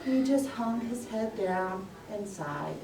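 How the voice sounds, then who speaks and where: Animated woman storyteller in an echoey room. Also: mid-range voice, slow pace, a crowd in the background. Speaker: storyteller, puppeteer. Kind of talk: storytelling to young children. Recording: roomy echo, distant microphone.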